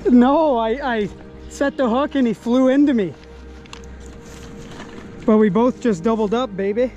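A young man fly fishing outdoors while wading in a river, excitedly commenting on each catch into a body-worn action camera. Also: extremely high pitch, unhurried, lo-fi sound, soft background music. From Canada. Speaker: fly-fishing angler and host. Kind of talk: casual outdoor vlog commentary.

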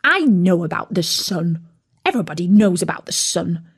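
A woman's voice: lowish voice, big pitch swings, normal speed, gravelly, husky, exaggerated voice. A woman voicing an impatient, hungry male turkey who complains indignantly. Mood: grumbling.

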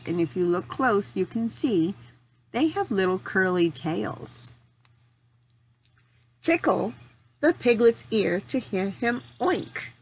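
A woman in her forties reading aloud to young children as their teacher, phrasing things simply and deliberately and asking them questions. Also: mid-range voice, slightly rough. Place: home room in front of a large window. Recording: steady noticeable background noise.

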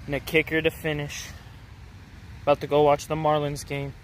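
A young man narrates his catches straight into a handheld phone beside a pond. His voice sits a bit high and stays fairly flat, relaxed and offhand. Faint outdoor traffic noise runs underneath. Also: moderate pace. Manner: casual, upbeat, talking to viewers between catches. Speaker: fishing vlogger. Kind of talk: casual handheld fishing vlog. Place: outdoors at a city pond edge. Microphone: phone camera held in hand.

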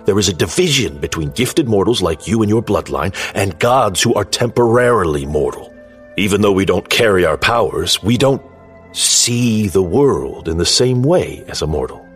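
An actor playing a solemn, wary god in mortal form, speaking in a grave, measured, formal way in an audio drama. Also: male voice, deep voice, expressive, faint music behind. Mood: grave warning.

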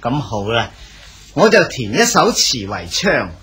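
An actor playing a deferential scholar poet in a Cantonese radio drama. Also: male voice, mid-range voice, sing-song, measured pace, over a noisy crowd. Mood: polite. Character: modest male Song dynasty poet, polite.

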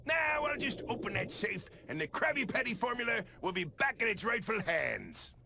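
An actor playing a greedy, blustering crab boss in a cartoon video game, with a gravelly growl and salty sailor talk. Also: male voice, extremely high pitch, big pitch swings, normal speed, full character voice. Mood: blustering, excited. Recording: clear background noise under voice.

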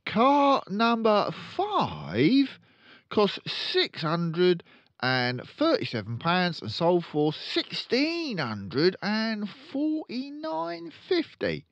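A man's voice: sits high, wildly swooping pitch, extremely slow, a bit bright, no echo, lo-fi sound, voice pushed up, exaggerated voice. A man voicing a boastful, bullying, hot-tempered father in a storybook, blustering and pompous. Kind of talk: storybook read-aloud character voice.

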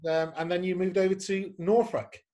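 A man's voice: sits high, flat delivery, brisk, dark tone. A man hosting a casual interview over a video call from a home room, camp, playful and effusive. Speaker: host.

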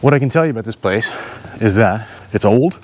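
A man's voice: lowish voice, lively intonation, a little fast, noisy background. A man in his thirties filming a casual travel vlog outdoors at arm's length, thinking aloud as he wanders without a plan. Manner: easygoing, thinking aloud, unplanned. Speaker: travel vlogger. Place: outdoors in parks and streets. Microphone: handheld camera at arm's length.

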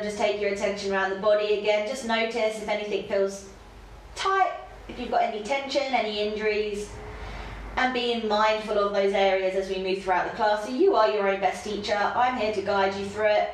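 A young woman with a stuffy nose from hay fever, teaching a casual yoga class at home, chatty with laughing asides, then slow and calm cues. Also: medium pitch. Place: home room with yoga mat. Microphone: camera across the room. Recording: very echoey room, faint background hiss.

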